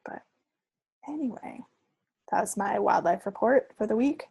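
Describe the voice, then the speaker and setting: A woman's voice: mid-range voice, expressive, unhurried, gravelly, darkish tone, slightly rough, dry recording. A woman co-hosting a podcast, chatting casually with a dry, wry understatement. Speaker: podcast co-host. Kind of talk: casual chat.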